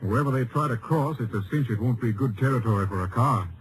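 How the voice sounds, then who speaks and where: Male actor as lawman captain, medium-pitched, brisk and authoritative, vintage radio drama with faint noise. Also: close to an everyday voice. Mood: businesslike. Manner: businesslike. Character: brisk, authoritative Texas Ranger captain at headquarters. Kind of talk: 1950s radio drama acting. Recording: faintly noisy old radio recording.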